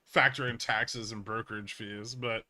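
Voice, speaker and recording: A man's voice: fairly low pitch, fairly even intonation, normal speed, sharp tone. A man hosting a casual livestream, explaining things bluntly and emphatically with some swearing and answering viewers as an educator. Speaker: livestream host, trading educator.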